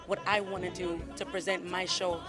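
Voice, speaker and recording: A man's voice: high-pitched, flat delivery, normal speed. A man, a performer, answering interview questions with music and a crowd around. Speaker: festival performer being interviewed.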